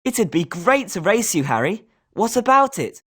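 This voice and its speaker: A woman's voice: mid-range voice, very animated, normal speed, husky. A woman with a British accent voicing a confident, sporting schoolboy wizard with a competitive streak in a video game. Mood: confident, challenging. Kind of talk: scripted video game character lines.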